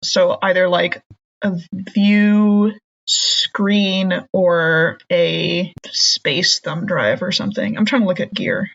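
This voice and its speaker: Husky-voiced American woman joking at the table. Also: lowish voice, slow pace, a bit bright. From United States. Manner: chatty, playful, self-correcting. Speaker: player. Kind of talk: actual-play tabletop game. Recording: dull lo-fi audio.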